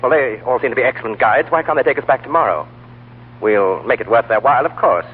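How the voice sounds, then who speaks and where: A man's voice: mid-range voice, lively intonation, fast, breathy edge. An actor playing an impatient, suspicious young man in an old radio drama, hectoring and stammering insistently. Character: agitated, impatient, suspicious young Englishman demanding to leave. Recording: thin, muffled vintage radio broadcast.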